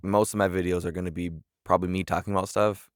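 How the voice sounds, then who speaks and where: A man in his twenties talking earnestly to camera in a casual vlog, halting often with fillers and restarts. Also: low voice, little pitch movement, no room sound, studio quality. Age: 20s. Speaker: vlogger.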